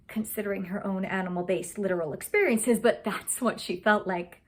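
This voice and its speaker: Expressive woman reading a fairy tale. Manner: expressive, with big swings in pitch and loudness. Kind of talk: dramatic story reading.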